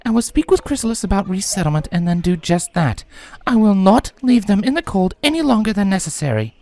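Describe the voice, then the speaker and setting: A man's voice: sits high, sing-song, brisk, a little mellow, dry recording, voice pushed up. A man voicing a regal, resolute adult female pony princess in a fantasy storybook reading.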